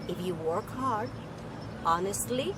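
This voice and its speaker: A woman's voice: mid-range voice, animated, slow. A woman from Peru giving an earnest, hesitant street interview. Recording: far from mic, loud street noise.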